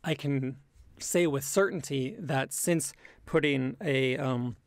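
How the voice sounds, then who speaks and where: A man, a native English speaker, talking reflectively as an interview guest in a measured, hesitant way that often qualifies itself. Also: medium pitch, dry recording. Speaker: interview guest, language learner and comedy creator. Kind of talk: casual reflective interview conversation.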